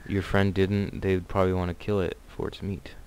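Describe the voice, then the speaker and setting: A young man with a fairly low voice speaks as a podcast co-host. His casual, unscripted talk is dry and laconic, with a teasing edge. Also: little pitch movement, brisk, darkish tone, clear, resonant tone, no echo, rough recording.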